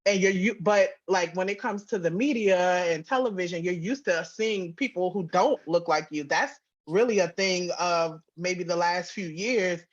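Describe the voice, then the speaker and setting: A woman chatting casually as an interview guest, speaking in an animated, informal way peppered with "like". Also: lowish voice, normal speed, few pauses, dull audio. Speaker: guest, audio drama writer and producer.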